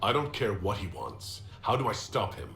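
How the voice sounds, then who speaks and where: Blunt determined hero, lively forceful voice, echoey fantasy game. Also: male voice, fairly low pitch, normal speed, creaky, a little hiss or hum, exaggerated voice. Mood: impatient. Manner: blunt, urgent. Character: defiant, determined hero leading against an invading army. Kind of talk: dramatic fantasy game acting. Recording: echoey, with faint music behind.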